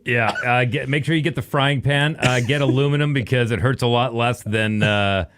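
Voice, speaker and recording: A man's voice: medium pitch, steady tone, moderate pace, noisy background. A middle-aged man, co-hosting a casual call-in podcast in a blunt, dry, matter-of-fact way. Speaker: podcast co-host and radio announcer. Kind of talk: casual advice and banter on a call-in show.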